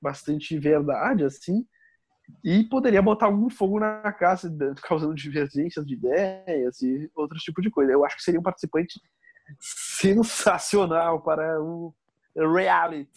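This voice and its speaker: Playful man, slightly high voice. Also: moderate pace, slightly rough. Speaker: podcast co-host. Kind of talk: casual chat among friends.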